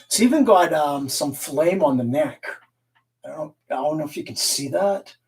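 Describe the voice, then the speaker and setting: A man's voice: a little high, lively intonation, moderate pace, distant mic, soft background music. A man raised in Canada hosting a casual live stream, rambling, laughing often and reading the chat aloud. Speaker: livestream host and guitar collector.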